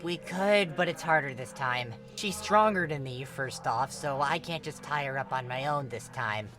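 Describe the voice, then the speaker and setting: A man's voice: medium pitch, moderate pace, lightly acted. A man voicing a sarcastic, exasperated, foul-mouthed teenage boy in a story reading, chatty and eye-rolling. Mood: weary griping. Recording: music behind the voice.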